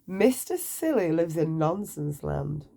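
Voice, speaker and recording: A woman storyteller reads aloud for children at an unhurried pace. Her voice is mellow and a touch low, with animated, playful intonation. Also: gravelly. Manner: playful, asks the listener questions. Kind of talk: read-aloud bedtime story narration.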